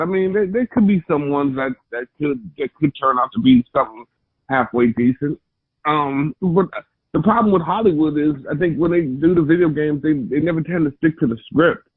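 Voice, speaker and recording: A man on a podcast panel giving opinions in halting, filler-heavy speech. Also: a little high, breathy edge, no room sound. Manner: halting, many fillers and restarts. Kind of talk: casual chat. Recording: dry tinny phone-like sound.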